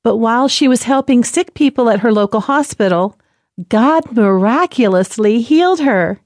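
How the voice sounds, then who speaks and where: A woman narrating a story in a gentle, clear, measured reading style. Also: a little high, dry recording, studio quality. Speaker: presenter.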